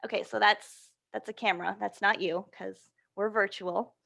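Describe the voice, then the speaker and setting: A woman presenting an interactive picture-book storytime for young children, encouraging and animated, often asking questions and answering them aloud. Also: medium pitch, normal speed, gravelly.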